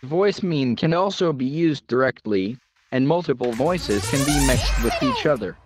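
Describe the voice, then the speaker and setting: A man's voice: mid-range voice, sing-song, normal speed, over music. A man reading a scripted product tutorial as the presenter.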